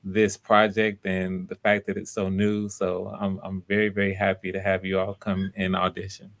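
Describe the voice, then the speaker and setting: A man's voice: low voice, completely flat delivery, normal speed, gravelly. A man hosting live auditions, talking casually in an encouraging, enthusiastic manner as he gives feedback.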